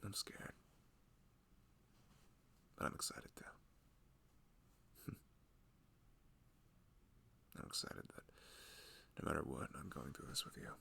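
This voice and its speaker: A man playing a loving, nervous young husband and father-to-be in an intimate, rambling, soft-spoken ASMR roleplay. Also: slow, pauses often. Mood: tender, loving. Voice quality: low, gravelly voice. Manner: rambling, soft-spoken, chuckling, often drifting toward a murmur.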